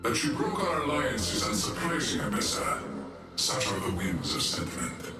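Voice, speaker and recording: A male actor voicing a solemn, coldly messianic transformed inventor in a fantasy video game, speaking in a measured, formal way. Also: mid-range voice, sing-song, echoey room, far from the mic, music in the background, lightly acted. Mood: solemn, detached.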